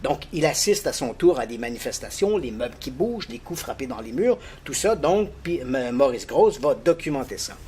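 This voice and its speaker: A middle-aged man explaining a strange case as a guest, telling it like an animated storyteller who chuckles easily. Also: moderate pace, hardly any pauses, a little hiss or hum. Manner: animated, lively storyteller with frequent pitch jumps. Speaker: guest expert on paranormal cases.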